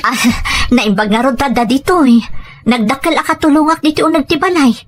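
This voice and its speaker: Babai a mangipapel iti naalumamay, managpakaasi nga ina, medio natinis. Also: female voice, sits high, a little fast, soft background music, lightly acted. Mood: plaintive. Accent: Ilocano. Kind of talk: radio-style serial drama acting.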